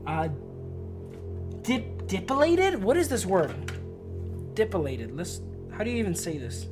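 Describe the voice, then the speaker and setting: A man's voice: high voice, wildly swooping pitch, measured pace. Male streamer reading aloud over music. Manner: slangy, stumbles over long words. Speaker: livestreamer reading a visual novel aloud. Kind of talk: narrating game text, casual chat with viewers.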